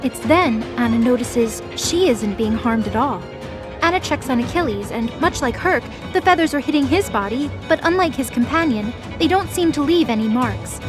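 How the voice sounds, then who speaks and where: A woman's voice: sits high, lively intonation, normal speed, music in the background. A woman reading scripted, dramatic story narration as the narrator of an audio drama.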